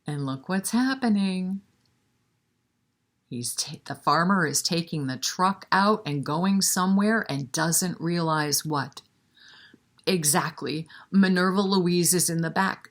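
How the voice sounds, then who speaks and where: A woman leading a children's story time, reading a picture book expressively and chatting to the children with questions and encouragement. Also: mid-range voice. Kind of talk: animated picture-book read-aloud with asides to children.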